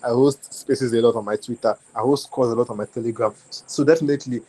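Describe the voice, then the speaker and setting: A young man with a Nigerian accent speaks casually on a group call, at an ordinary middle pitch. He talks in loose, looping phrases, keeps restarting his sentences and reaches often for "like" and "you know". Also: steady tone, fast, warm tone, rough recording. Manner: repetitive, restarts phrases, many fillers like "like".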